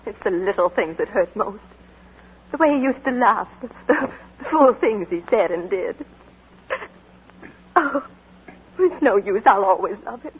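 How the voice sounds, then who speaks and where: A woman's voice: medium pitch, animated, a little slow, pauses often, breathy edge. Heartbroken young woman in love. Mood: grieving. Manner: emotional, breathless and pleading. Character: young woman waiting for her soldier sweetheart, heartbroken. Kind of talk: 1940s radio acting. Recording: old lo-fi radio broadcast.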